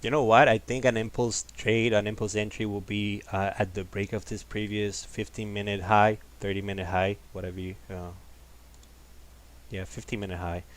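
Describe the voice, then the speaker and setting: A man with a deep voice hosting a live trading stream, talking in a calm, laid-back, casual way. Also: moderate pace. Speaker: host of a live trading session. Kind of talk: casual livestream market commentary.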